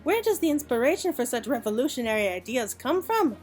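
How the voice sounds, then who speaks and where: A woman's voice: sits high, sing-song, brisk, darkish tone, soft background music. A woman voicing an earnest, polite young apprentice artisan from a game, in formal and courteous phrasing. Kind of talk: video game dialogue read aloud.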